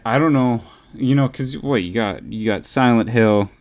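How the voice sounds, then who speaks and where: A man's voice: medium pitch, normal speed, a little mellow, highs cut off. A man co-hosting a podcast in casual, opinionated discussion, rambling and repeating phrases as he thinks aloud. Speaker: podcast co-host.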